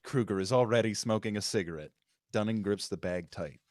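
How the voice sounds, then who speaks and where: A man's voice: lowish voice, brisk, darkish tone, no echo, clean studio sound. A man, the writer, reading out screenplay stage directions as narrator at a live table read.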